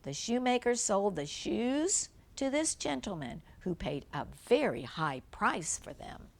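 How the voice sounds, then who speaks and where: A woman's voice: mid-range voice, very animated, measured pace. An older woman reads a children's storybook aloud like a grandmother, adding simple, chatty explanations for a young listener.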